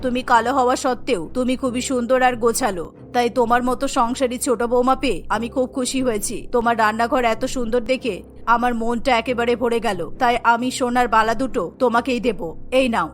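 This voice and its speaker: A woman voicing a dignified, exacting older mother-in-law in a cartoon, speaking with measured authority. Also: on the high side, steady tone, slight background noise, voice pushed down, lightly acted. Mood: pleased. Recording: music bed behind the voice.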